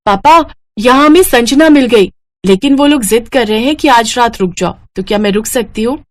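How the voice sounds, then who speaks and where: A woman's voice: a little high, normal speed, voice pushed up, close to an everyday voice. A woman voicing an affectionate, eager teenage schoolgirl in a moral story, with an emotional, pleading manner. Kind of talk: animated moral story character acting.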